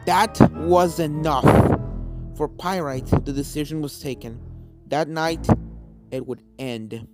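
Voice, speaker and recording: A man's voice: fairly high pitch, slow pace. A man reading a scripted fan fiction story aloud as its narrator. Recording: game music under the voice. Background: video game soundtrack music.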